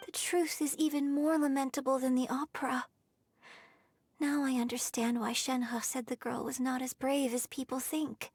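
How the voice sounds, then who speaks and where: Young female game companion: slightly high, thoughtful, earnest, reasoning aloud. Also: dry recording. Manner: reflective, musing. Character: thoughtful, earnest young opera performer piecing together clues. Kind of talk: video game voice acting.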